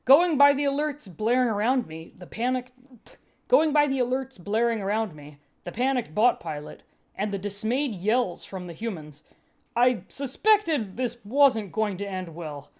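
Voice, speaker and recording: A woman's voice: on the high side, lively intonation, unhurried, a little mellow. Dry, wry woman narrating fiction. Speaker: podfic narrator. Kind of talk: first-person story narration with casual ad-libbed asides.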